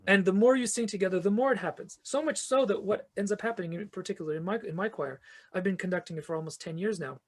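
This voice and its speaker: A man's voice: sits high, quick pace. A man being interviewed as a guest, answering in long, earnest explanations that build with restarts. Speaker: guest, fantasy author and choir conductor. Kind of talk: reflective podcast interview answers.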